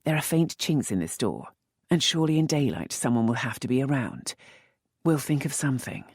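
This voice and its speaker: A woman narrator voicing a teasing, wry young earl in an audiobook, speaking dry and measured. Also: rumbling low, expressive, a little mellow, husky, dry recording, exaggerated voice. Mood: gentle.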